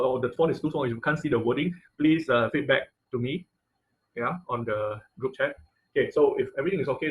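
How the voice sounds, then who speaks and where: Halting male online tutorial instructor. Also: mid-range voice, rough recording. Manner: halting, checks in often with listeners. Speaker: teacher of an online robotics class. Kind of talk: informal tutorial with live demonstration. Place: online video call class.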